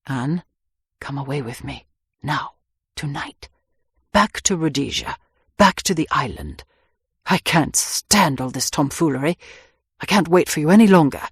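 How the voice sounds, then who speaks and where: A woman reading an audiobook, voicing an impetuous young man who pleads urgently and roughly with his sweetheart. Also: sits low, lively intonation, unhurried, pauses often, dry recording, studio quality, voice pushed down, heavily acted. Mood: pleading. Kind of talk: audiobook character voice.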